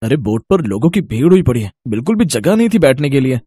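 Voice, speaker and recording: A man's voice: mid-range voice, very animated, fast, dark tone, breathy edge, no echo. An actor playing a weary but reassuring family man in a cartoon story, explaining with mild exasperation. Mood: exasperated. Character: weary, reassuring husband and son. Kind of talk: cartoon moral story acting.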